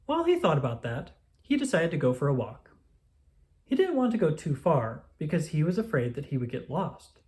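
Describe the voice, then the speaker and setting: Animated children's storybook narrator. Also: medium pitch, moderate pace.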